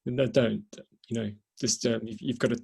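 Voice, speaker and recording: A man with a creaky, husky voice chairs an online panel. He is appreciative and hesitant, cushioning what he says as he goes. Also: medium pitch, steady tone, normal speed. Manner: appreciative, hesitant, softens with hedges. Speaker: host, event chair. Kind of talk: hosting an online panel event.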